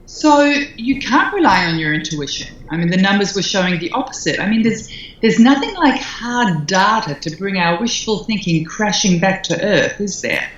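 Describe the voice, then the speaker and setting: A woman's voice: medium pitch, sing-song, moderate pace, a little hiss or hum. A woman co-hosting a podcast who asks dry, teasing questions in a conversational tone. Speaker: podcast co-host. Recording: very echoey, muffled room audio.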